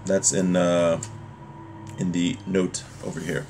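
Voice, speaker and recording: A man's voice: deep voice, little pitch movement, takes it slowly, a little mellow, a little hiss or hum. A man in his thirties giving an informal religious lesson, reading from a text and explaining it in a hesitant way. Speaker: religious teacher. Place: small home room, indoors.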